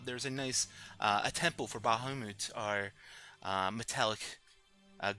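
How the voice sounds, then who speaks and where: A man's voice: medium pitch, bright, forceful tone, natural voice. A man playing a courteous, self-assured island host in a tabletop game, gracious, a little vain and hesitant.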